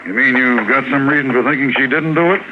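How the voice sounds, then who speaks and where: An actor playing a blunt, cocksure small-town police sergeant in a 1950s radio drama, with folksy certainty. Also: male voice, mid-range voice, fast talker, close to an everyday voice. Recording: noisy, tinny vintage radio recording.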